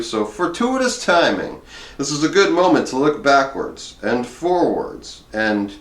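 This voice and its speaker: A man's voice: mid-range voice, fairly bright tone, roomy sound. A man voicing an eccentric, down-on-his-luck older comedy guru who declaims portentously, in an audiobook-style reading.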